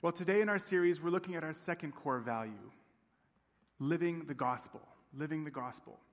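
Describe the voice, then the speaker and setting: A man's voice: fairly high pitch, brisk. A man in his thirties, a campus pastor raised in Tanzania, preaching a sermon in a friendly, conversational way and often checking in with the congregation. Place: large church sanctuary. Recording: long reverb of a church hall.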